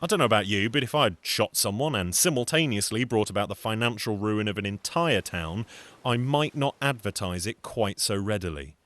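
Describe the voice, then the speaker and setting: A man's voice: on the low side, lively intonation, normal speed, a bit bright. A man telling a folktale as a storyteller, in a dramatic, theatrical narrating style.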